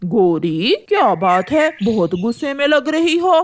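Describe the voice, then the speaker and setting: A woman voicing a scheming, vengeful male crow husband in a cartoon, drawn-out and threatening. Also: a little high, sing-song. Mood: concerned.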